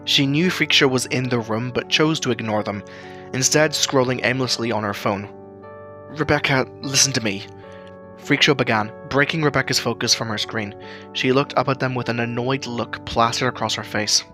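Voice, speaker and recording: A man's voice: mid-range voice, moderate pace, sharp tone, soft background music. A man reading scripted story narration as the narrator of a fiction tale.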